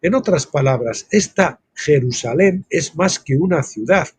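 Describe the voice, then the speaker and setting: A man's voice: on the high side, expressive, a little slow, husky, no room sound. A man reading a Bible study book aloud and breaking off into casual asides of his own, like a teacher. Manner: reads text aloud, then breaks off with informal asides.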